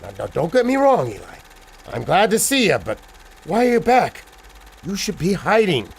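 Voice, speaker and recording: A man's voice: high-pitched, huge pitch swings, moderate pace, exaggerated voice. A man playing an anxious, fatherly older studio manager who frets and pleads in old-fashioned slang. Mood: uneasy. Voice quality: creaky. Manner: fretful, pleading, Yiddish-flavoured slang. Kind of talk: old-time adventure serial acting.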